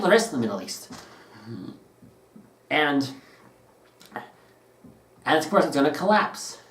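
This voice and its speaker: A man's voice: fairly high pitch, a bit bright, recorded from a distance. Earnest man vlogging from across a roomy space. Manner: earnest and opinionated, often stumbling and restarting phrases. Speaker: political commentary vlogger. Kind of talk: unscripted opinion monologue to camera. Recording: roomy sound, speaker away from the mic.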